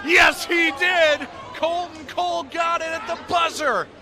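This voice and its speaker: High-pitched American man calling live sports. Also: steady tone, measured pace, sharp tone, raised voice, a faint crowd. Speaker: sports radio play-by-play announcer and interviewer.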